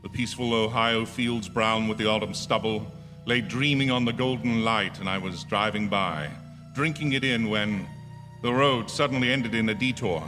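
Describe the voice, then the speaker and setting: Man playing an uneasy, forceful haunted motorist. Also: on the low side, faint music behind. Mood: haunted, tense. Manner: nervous, halting, stammering under strain. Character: uneasy male motorist haunted by a recurring hitchhiker. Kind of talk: radio drama first-person narration and acting. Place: stage of a theatre. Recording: echoey theatre hall with long reverb.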